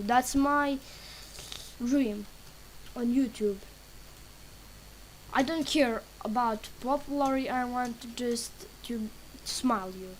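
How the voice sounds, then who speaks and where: Woman, English, fairly high voice, very slow halting delivery, casual game commentary, faint background noise. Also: rough recording. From Serbia. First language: Serbian. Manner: halting, broken English with many pauses and fillers. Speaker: gaming channel creator.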